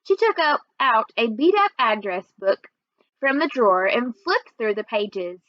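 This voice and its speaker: A woman with a high voice reads a children's book aloud as its first-person narrator. Her delivery is expressive and measured. The recording is very dry and slightly dull. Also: dry recording. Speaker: read-aloud storyteller.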